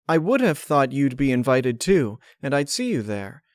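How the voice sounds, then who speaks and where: A man's voice: medium pitch, sing-song, normal speed, no room sound, clean studio sound, voice pushed up, natural voice. A man voicing a genteel, dramatic female pony who is falsely sweet and scheming, with an affected, ladylike, gushing manner. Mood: dismayed.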